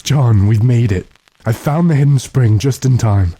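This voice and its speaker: An actor playing a resolute, commanding gentleman investigator in an old-time radio mystery. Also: mid-range voice, moderate pace, background noise, lightly acted. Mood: determined. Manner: urgent, commanding.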